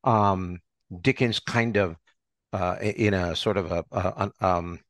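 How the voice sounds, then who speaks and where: A man hosting an arts podcast, talking in a halting, rambling way and circling back over his phrases. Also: deep voice, dry recording, clean studio sound. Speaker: podcast host and interviewer. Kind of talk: conversational arts interview.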